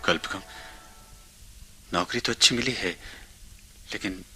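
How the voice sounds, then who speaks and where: Earnest, deep-toned young suitor. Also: male voice, measured pace, pushed and bright, soft background music, heavily acted. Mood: earnest, concerned. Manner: earnest, slightly hesitant. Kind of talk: Hindi radio play acting.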